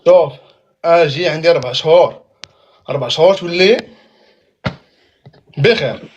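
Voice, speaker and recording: A man's voice: fairly high pitch, moderate pace, lo-fi sound, slight background noise. A man in his thirties with a Moroccan Arabic accent, a chatty caller on a video call who keeps swearing by God. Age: 30s. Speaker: caller on a live stream.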